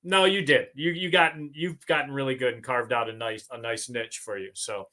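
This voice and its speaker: A man's voice: medium pitch, fairly even intonation, fairly quick, bright, forceful tone. An American man hosting a casual sports podcast, speaking as a sportswriter with a wry, self-deprecating manner.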